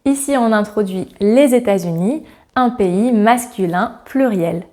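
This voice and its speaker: A woman teaching a short grammar lesson, speaking clearly and addressing the viewer directly in a friendly way. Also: medium pitch, a little slow, warm tone. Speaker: French teacher. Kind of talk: scripted grammar lesson to camera.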